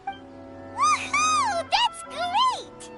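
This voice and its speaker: A woman voicing a tiny, excitable girl companion in a game, chatty and bouncy, speaking of herself in the third person. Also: very high voice, very slow, loud music behind the voice, exaggerated voice. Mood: excited. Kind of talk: game cutscene voice acting.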